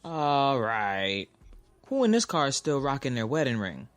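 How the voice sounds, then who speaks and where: A man's voice: medium pitch, sing-song, measured pace, fairly bright tone, no room sound. A man acting a blunt, candid lover in a naturalistic audio drama about a troubled romance. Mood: frustrated, blunt. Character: blunt, candid lover frustrated with married girlfriend. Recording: music behind the voice.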